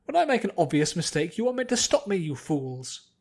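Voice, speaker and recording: A man's voice: sits high, sing-song, brisk, darkish tone, pitched above the performer's own voice, heavily acted. A man voicing a spoiled, nasty rich young noblewoman, pretentious, haughty and demanding, in a fantasy story. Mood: indignant, scornful.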